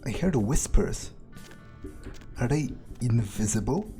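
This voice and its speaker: A man's voice: mid-range voice, expressive, slow pace, dark tone, soft background music. A man muttering and swearing as he thinks aloud in character while playing a game. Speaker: game player.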